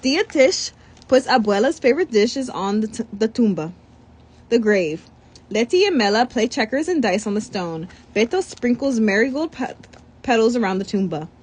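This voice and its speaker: Woman, storybook reader, animated, husky, somewhat high voice. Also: moderate pace. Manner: animated, trips over words and comments between pages. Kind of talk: children's read-aloud.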